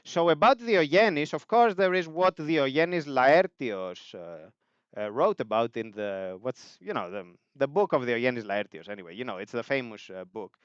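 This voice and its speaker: A man's voice: medium pitch, lively intonation, moderate pace, smooth, no room sound, dull audio. A man from Greece with a Greek accent, hosting a casual livestream and answering chat questions in a thoughtful, rambling way with many fillers.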